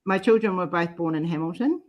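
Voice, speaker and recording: A middle-aged woman with a New Zealand accent talks in a fairly low voice. She is a guest in a casual group chat, sharing personal stories in an informal way. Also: a little fast, darkish tone.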